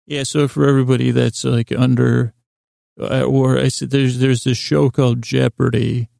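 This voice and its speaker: A man hosting a bedtime podcast in a rambling monologue, mumbling and stumbling apologetically through halting, meandering, self-deprecating asides. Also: mid-range voice, level tone, gravelly, mellow, dry recording, studio quality. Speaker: podcast host. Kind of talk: rambling bedtime monologue to help listeners sleep.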